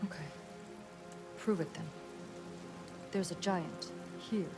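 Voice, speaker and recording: Casting: stern, desperate warrior leader in game drama; lowish female voice, terse commands, music clearly behind. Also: slow pace. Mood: demanding. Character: hard, distrustful Norse female leader, desperate for her people. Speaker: game character actor. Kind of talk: cinematic video game acting.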